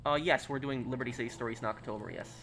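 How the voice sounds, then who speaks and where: A man's voice: mid-range voice, racing, a bit bright, a little hiss or hum. American man chatting on a live stream. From United States. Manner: reads out and answers chat questions, many fillers and restarts. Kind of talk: casual live-stream chat.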